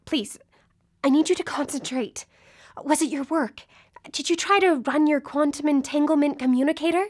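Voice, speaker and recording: An actress playing an earnest, driven woman searching for a lost friend, with an intent, halting manner, in a live radio play. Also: sits high, a little mellow, a little hiss or hum, close to an everyday voice. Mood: urgent, pleading. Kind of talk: live radio drama acting.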